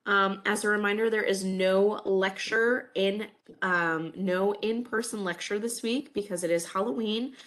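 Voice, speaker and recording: A woman's voice: mid-range voice, fairly even intonation, unhurried, fairly bright tone. A woman in her thirties giving a recorded college English lecture over webcam, stuffy from a cold, friendly and full of "um" fillers. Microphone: webcam over slides.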